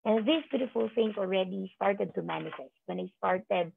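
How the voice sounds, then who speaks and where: A woman's voice: medium pitch, moderate pace, vocal fry, a little hiss or hum. A woman in her thirties, a business coach, giving a rousing, repetitive motivational speech on a video call. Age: 30s. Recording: tinny call audio.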